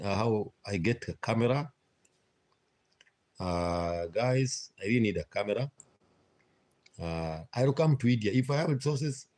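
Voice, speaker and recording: Hesitant Kenyan man, low voice. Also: darkish tone. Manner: hesitant, frequent "uh" fillers, repeats himself. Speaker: live streamer. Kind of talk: casual live stream chat with viewers.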